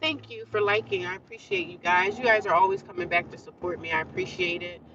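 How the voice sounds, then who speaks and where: A woman's voice: mid-range voice, fast, distant mic, dull audio, noisy background. A woman chatting casually to her viewers from inside a car, talking with a congested nose. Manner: chatty, talks straight to viewers. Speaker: vlogger. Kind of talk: casual rambling chat to viewers.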